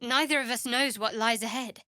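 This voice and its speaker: An actress playing a guarded, devout young cleric of a dark goddess in a fantasy video game, with a dry, wry, measured delivery. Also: female voice, fairly high pitch, fairly even intonation, no room sound. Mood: cool, sardonic.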